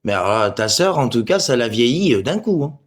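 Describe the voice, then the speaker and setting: Guy on a live stream, average pitch, sweary, abusive, arguing back at commenters. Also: big pitch swings, a little fast, noisy background. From Portugal. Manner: crude, abusive, confrontational, swearing. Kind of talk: livestream chat replying to commenters.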